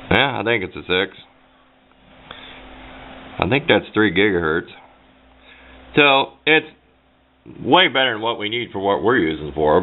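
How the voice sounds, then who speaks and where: A folksy, plain-spoken man giving a casual hands-on tutorial at a workbench, picked up by a camera microphone. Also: fairly low pitch. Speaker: DIY radio hobbyist demonstrating. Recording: muffled phone-like audio, background noise.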